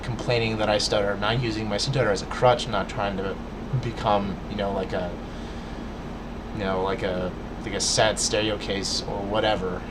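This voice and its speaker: A man with a lowish voice speaks straight to his viewers, lecturing earnestly and often stumbling over words with a stutter. A clear steady hum of background noise sits under his voice. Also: normal speed. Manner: stutters, restarts, many fillers. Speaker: vlogger. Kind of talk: casual rant to camera.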